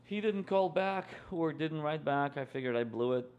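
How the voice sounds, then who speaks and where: A man from Ukraine, a guest musician telling stories in a casual interview with a relaxed manner and many fillers. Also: on the high side, moderate pace, smooth, dull audio. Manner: relaxed storytelling with frequent fillers.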